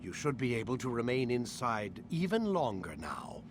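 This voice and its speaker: Man playing a pompous, animated scientist villain. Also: mid-range voice, moderate pace, noisy background, natural voice. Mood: smug. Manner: pompous, formal, condescending. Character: imperious older male scientist villain, smug, impatient.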